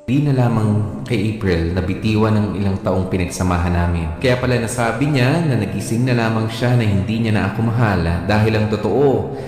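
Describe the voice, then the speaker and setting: An actor playing a heartbroken husband, narrating his betrayal in a sorrowful, measured radio drama style. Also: fairly low pitch, darkish tone, natural voice. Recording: echoey reverberant playback with faint music.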